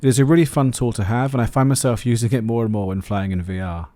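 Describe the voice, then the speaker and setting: A man's voice: mid-range voice, steady tone, quick pace, mellow, no room sound. A man narrating a scripted product review as the host of a video.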